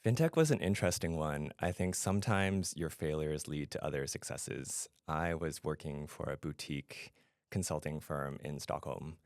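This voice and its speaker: A man's voice: extremely low pitch, moderate pace, darkish tone, no echo, clean studio sound. A middle-aged man from Canada speaking conversationally as a guest in an interview. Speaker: podcast guest, fintech product adviser and founder.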